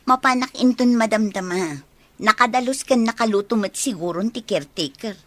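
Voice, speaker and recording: A woman's voice: a little high, moderate pace, lightly acted. A woman playing a polite young village daughter with an Ilocano accent in a radio drama. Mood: polite, casual.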